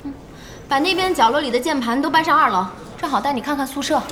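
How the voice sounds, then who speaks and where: An actress in a Chinese audio drama playing a brisk, bossy young woman who runs an internet cafe, speaking directly. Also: sits high, natural voice. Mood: brisk, businesslike. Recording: music and crowd sounds behind.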